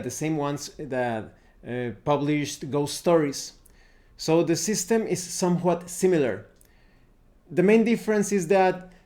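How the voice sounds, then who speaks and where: A man presenting an unscripted game review, explaining things deliberately and often pausing mid-sentence. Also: a little high, darkish tone. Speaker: tabletop RPG reviewer, presenter.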